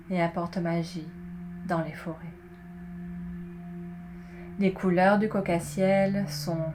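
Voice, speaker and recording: A woman guiding a relaxation session in a soothing, measured way, pausing between short phrases as she narrates. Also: lowish voice. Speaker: yoga nidra guide. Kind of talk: guided relaxation, scripted narration. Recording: clearly noisy, ambient tones behind. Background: meditation music with singing bowl tones.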